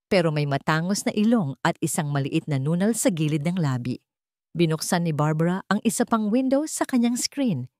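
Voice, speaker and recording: Female Filipino storyteller: lower register, expressive swings, dramatic scripted delivery. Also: no room sound, studio quality. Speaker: narrator.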